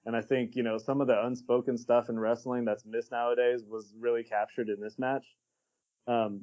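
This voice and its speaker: A man with a medium-pitched voice talks through his opinions at an easy pace, blunt and offhand with casual curses. The podcast sound is a bit dull and lo-fi. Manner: relaxed, blunt, swears casually. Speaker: podcast co-host.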